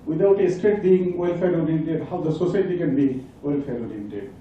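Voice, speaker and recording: A man's voice: on the high side, moderate pace, a little hiss or hum. A man in the audience politely asking a presenter a question, hesitating and restating his ideas. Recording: echoey hall, distant dull mic.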